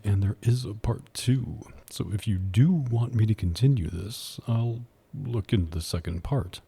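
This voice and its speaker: A man with a husky voice talking casually to listeners as the host of an ASMR voice acting channel. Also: fairly low pitch, mellow.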